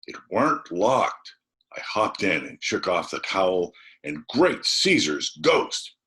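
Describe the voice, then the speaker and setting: A man voicing a frightened, excited adventurous small-town boy in a storybook, talking in a breathless, folksy rustic way. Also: low-pitched, slow pace, pitched above the performer's own voice, exaggerated voice. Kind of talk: dramatised storybook reading.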